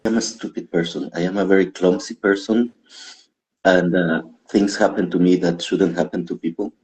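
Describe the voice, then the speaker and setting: Man, very low voice, from Guatemala, stand-up comedian, casual self-deprecating chat. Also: fairly even intonation, moderate pace, darkish tone, rough recording. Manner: rambling, self-deprecating, laughs often.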